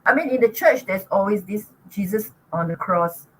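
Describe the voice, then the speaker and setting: A woman's voice: medium pitch, animated, normal speed, a bit bright, slight background noise, low-quality recording. An older woman with a Singaporean accent, a guest in an interview, telling stories in a chatty way and laughing often. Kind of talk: casual interview talk.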